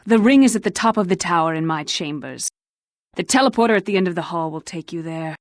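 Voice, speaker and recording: A woman's voice: fairly low pitch, lively intonation, fast, dry recording, lightly acted. A woman voicing a theatrical fantasy schemer in a video game, with a dramatic delivery. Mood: resigned. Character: theatrical, coquettish female fantasy schemer and thief.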